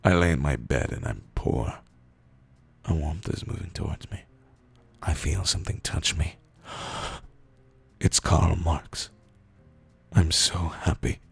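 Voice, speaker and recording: A man with a hoarse, raspy, rumbly voice, reading stories aloud in an unhurried, drawn-out way with pauses. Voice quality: raspy, rumbly, gravelly fry. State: hoarse from days of screaming. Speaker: narrator reading internet posts. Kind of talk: slow, low dramatic reading of short stories.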